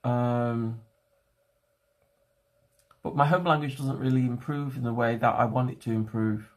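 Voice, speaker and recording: A young man whose first language is not English, chatting casually to his livestream viewers from home and thinking aloud in halting, restarting phrases. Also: mid-range voice, fairly even intonation. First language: a home language other than English. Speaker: streamer.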